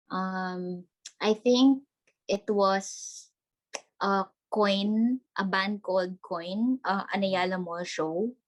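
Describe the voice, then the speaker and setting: A woman's voice: medium pitch, poor audio. A young woman from the Philippines, a podcast guest, chatting in a bubbly way with frequent laughs and many "like"s over a laptop video call from her bedroom. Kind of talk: casual video-call interview.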